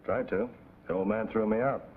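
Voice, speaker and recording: Man playing a grim, low-voiced undercover agent. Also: steady tone, normal speed, natural voice. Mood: grim, urgent. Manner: earnest, urgent briefing. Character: grim, worried male undercover field agent. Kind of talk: 1950s TV drama dialogue. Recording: tinny, noisy vintage TV soundtrack.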